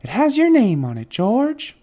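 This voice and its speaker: A man voicing a cheerful, soothing young woman nurse who explains things gently in a children's storybook. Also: extremely high pitch, huge pitch swings, a little slow, pitched above the performer's own voice, heavily acted. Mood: kindly, reassuring.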